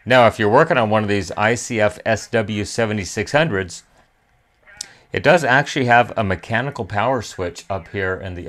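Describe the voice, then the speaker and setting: A man's voice: on the low side, a bit bright. A middle-aged American man narrating a hands-on electronics repair tutorial in a methodical, plain-spoken way. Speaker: electronics repair video host.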